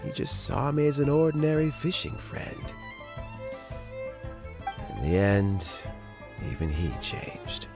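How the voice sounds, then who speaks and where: An elderly, courteous game statesman, speaks slowly in a weary, reflective way. His voice is lowish with expressive rises and falls, set over music. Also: gravelly, full character voice. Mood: weary, rueful. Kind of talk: video game voice acting. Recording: music behind the voice.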